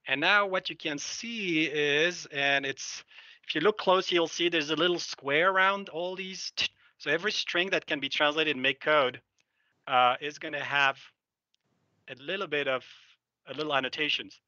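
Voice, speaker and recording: A middle-aged man from Belgium, a native French speaker, casually walks through a live software demo on a headset, thinking aloud with many fillers. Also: fairly high pitch, fairly even intonation, moderate pace, no room sound, muffled recording. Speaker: software developer giving a demo. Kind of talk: live screen-share product demo. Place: indoor room, seen on webcam.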